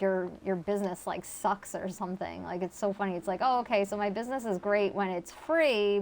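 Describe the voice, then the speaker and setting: A woman with a Connecticut accent, chatting casually with her viewers as she streams a game and answers chat. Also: medium pitch. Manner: chatty, reads and answers chat, many fillers. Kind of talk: casual live-stream chat while gaming.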